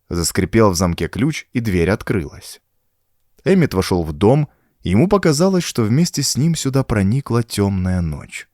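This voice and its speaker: A man narrating a horror story as an audiobook, in an expressive, suspenseful storytelling manner. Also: on the low side, dry recording, clean studio sound.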